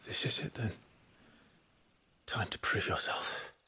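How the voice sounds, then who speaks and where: An actor playing an earnest, dutiful teenage knight in a video game, with a husky, breathy voice. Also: male voice, slow, pushed and bright, a little hiss or hum. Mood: resolute. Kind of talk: video game cinematic acting.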